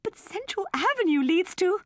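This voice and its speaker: A woman voicing an anxious, fussing mother in an audiobook story, urgent and high-strung. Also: very animated, normal speed, no echo, studio quality. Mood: panicked.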